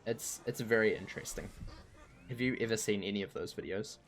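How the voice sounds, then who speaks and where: A man with a croaky voice chatting casually while streaming a game, rambling and poking fun at himself. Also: fairly low pitch, a little fast. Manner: rambling and self-mocking, laughs easily. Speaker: streamer playing an online game. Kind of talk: casual chat while gaming.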